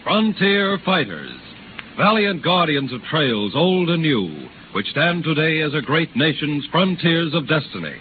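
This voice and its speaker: A man's voice: fairly high pitch, very animated, unhurried, narrow, tinny audio. A man narrating a scripted 1930s radio drama in a solemn, declamatory manner. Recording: noisy, thin 1930s radio recording.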